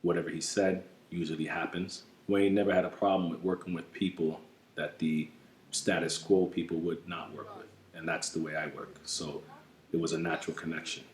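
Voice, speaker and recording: Very deep, monotone man from the US, earnest documentary interviewee, echoey room. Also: a little hiss or hum. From United States. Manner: measured and earnest, with frequent "you know" fillers. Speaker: program adviser.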